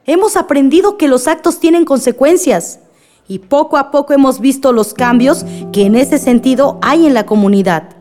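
Earnest, higher-voiced village woman played by an actress. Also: mellow, close to an everyday voice. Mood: solemn. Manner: earnest, measured oratory. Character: Alicia, grieving village woman, earnest community peacemaker. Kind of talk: radio drama acting, assembly speech. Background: music.

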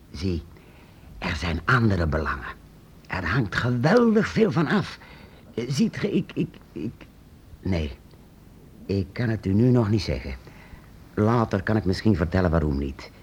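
An actor playing a secretive, eccentric master painter-inventor in a radio play, hesitant and halting, then urgent. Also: male voice, deep voice, very animated, a little hiss or hum. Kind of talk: radio drama acting.

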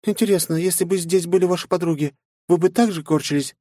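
A man's voice: high-pitched, fast, a little mellow, dry recording, clean studio sound, pitched above the performer's own voice, close to an everyday voice. A male narrator voicing a polite, formal female AI assistant in an audiobook. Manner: formal, advisory phrasing. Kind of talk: audiobook character voice.

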